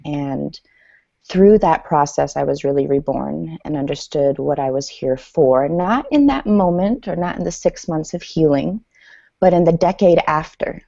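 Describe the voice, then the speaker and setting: A woman in her forties from Iran, a native Farsi speaker, telling her life story as a guest in a podcast interview in a measured, reflective way with frequent ums. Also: low-pitched, vocal fry, warm tone, no echo. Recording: dull lo-fi sound.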